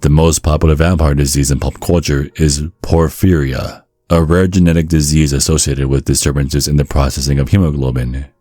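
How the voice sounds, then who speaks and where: A man narrates in a very deep, soft-edged, dark tone. The sound is dry and close, with faint music underneath. He reads a scripted ASMR story deliberately, with a deadpan manner. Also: husky, no echo.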